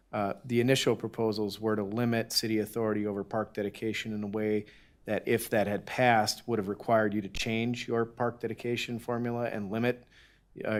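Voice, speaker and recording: Low, even-toned city attorney. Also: male voice. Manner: formal, careful. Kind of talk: formal report to the council. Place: council chamber.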